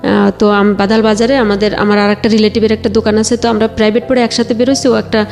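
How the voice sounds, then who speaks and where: A Bangladeshi woman telling her own life story conversationally, a little haltingly, quoting remembered exchanges. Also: mid-range voice, flat delivery, gravelly, a little mellow, soft background music. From Bagerhat area, Bangladesh.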